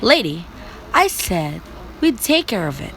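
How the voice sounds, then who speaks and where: A woman's voice: medium pitch, big pitch swings, a little slow, gravelly, a bit bright, a little hiss or hum, full character voice. A woman playing a dismissive, placating police desk officer in an amateur radio play. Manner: placating, curt.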